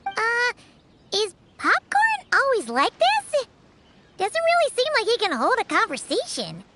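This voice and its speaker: A woman's voice: very high-pitched, huge pitch swings, unhurried, noisy background, heavily acted. A woman voicing a small, cheerful fairy companion in a video game, chirpy and childlike. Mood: curious, chatty. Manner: chirpy, third-person self-reference. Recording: music playing behind the voice. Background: loud children's game music.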